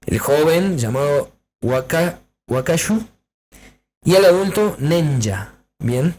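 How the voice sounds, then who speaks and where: A man's voice: on the high side, expressive, slow, darkish tone, a little hiss or hum. A man co-hosting a casual podcast, speaking hesitantly with frequent restarts. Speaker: podcast co-host.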